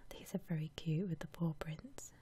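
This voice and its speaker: A woman whispering softly and breathily as she muses aloud through ASMR game commentary. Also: low-pitched, slight background noise. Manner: unhurried, soft-spoken musing aloud. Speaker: host of an ASMR gaming channel.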